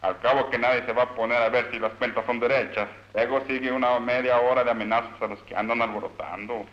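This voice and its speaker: A man's voice: mid-range voice, level tone, fast talker, close to an everyday voice. A man with a Mexican accent playing a cynical, pompous, scheming political boss in a satirical cartoon. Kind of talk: satirical animated film dialogue. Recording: dull old film soundtrack.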